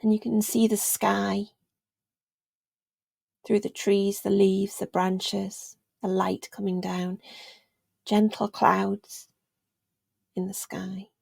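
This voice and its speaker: A woman speaks as a meditation guide, slow and soothing with long pauses. Her voice is husky and very creaky, often fading toward a whisper. The sound is close and dry. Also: medium pitch, level tone, no echo. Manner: gentle, soothing, unhurried with long pauses, trailing into near-whisper. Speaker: meditation guide, yoga teacher.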